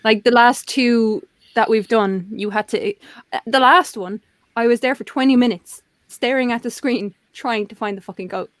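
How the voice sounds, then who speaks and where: A woman's voice: on the high side, normal speed, dry recording. A middle-aged woman co-hosting a livestream chat, rambling and self-deprecating, laughing often. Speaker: co-host of a livestream talk show. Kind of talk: casual chat.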